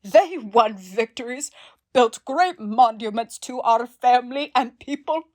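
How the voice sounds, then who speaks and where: A woman's voice: a little high, a little slow, lightly acted. An actress playing a proud, ruthless ancient queen in an audio drama, imperious and theatrical in manner. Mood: resentful, proud.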